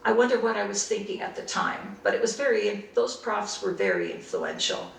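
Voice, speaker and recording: An older woman from Canada, recalling her early life and studies in an unhurried, reflective way in an oral history interview. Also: medium pitch, huge pitch swings, vocal fry, reverberant, distant mic, a little hiss or hum, low-quality recording. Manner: reflective, unhurried storytelling with frequent restarts.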